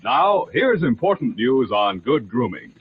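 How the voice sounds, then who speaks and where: An old, dull radio recording with soft music behind features a man announcing. His pitch moves animatedly as he delivers scripted 1940s-style sponsor messages. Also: very animated, unhurried, breathy edge. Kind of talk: 1940s radio advertising read. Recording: muffled vintage radio, faint music.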